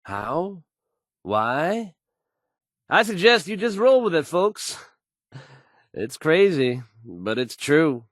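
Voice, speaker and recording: An actor playing a self-assured, smooth male radio DJ in a game, delivering news with polished, wry showmanship. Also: a little high, very animated, slow, long pauses, no room sound, studio quality. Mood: breezy, wry. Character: confident, suave, upbeat male radio DJ.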